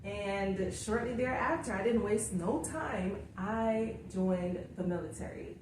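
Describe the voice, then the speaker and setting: A woman's voice: medium pitch, takes it slowly, a little mellow. A woman, a guest telling her story in a casual interview and laughing often. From Jamaica. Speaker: guest, author coach. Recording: roomy echo, distant mic, faint hiss.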